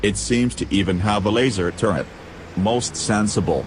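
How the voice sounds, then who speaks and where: A man playing a dry, literal-minded droid with precise, formal and deadpan delivery in an audio drama. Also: fairly low pitch, normal speed, slight background noise, lightly acted, low-quality recording. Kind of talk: scripted audio drama acting.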